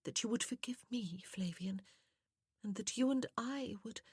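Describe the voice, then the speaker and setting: A woman reader acts out a wounded young widow in a period romance audiobook. Her voice is soft, sweet and raised high, often slipping toward a breathy whisper. She speaks with tearful, genteel reproach in a dry, close recording. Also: unhurried, no room sound. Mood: tearful pleading.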